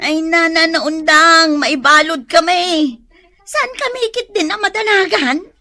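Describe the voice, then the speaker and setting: Stressed out ilocano hairdresser wife, super high sharp whiny voice, radio comedy. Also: female voice, unhurried, full character voice. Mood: worried. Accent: Ilocano Filipino. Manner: whiny, wailing exclamations. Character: frazzled, quick-tempered beautician and nagging wife. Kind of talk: comedic radio drama acting.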